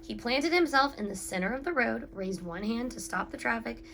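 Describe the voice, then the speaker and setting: A woman's voice: a little high, expressive, moderate pace, fairly bright tone, a little hiss or hum. A woman reading a children's picture book aloud and speaking to young listeners in an instructive way. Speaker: story reader and reading teacher.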